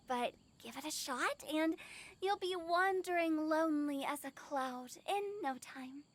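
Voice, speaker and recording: Impish young girl director, very high pitch, theatrical and teasing, anime game. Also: fairly bright tone. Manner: teasing, theatrical, rhyming wordplay. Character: mischievous, theatrical teenage girl director, playful and wordy. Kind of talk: anime video game voice acting. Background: game music.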